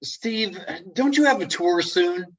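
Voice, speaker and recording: A man playing an anxious, exasperated sensible friend with deadpan sarcasm in a comedy table reading. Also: high voice, slow, highs cut off, slight background noise. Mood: weary, apologetic. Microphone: video call.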